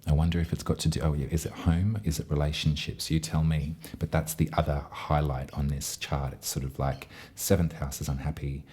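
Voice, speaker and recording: A man's voice: very deep voice, level tone, a little fast, hardly any pauses, dark tone, breathy edge. A man giving a chatty, affectionate tarot reading, speaking straight to his listeners. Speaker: tarot reader.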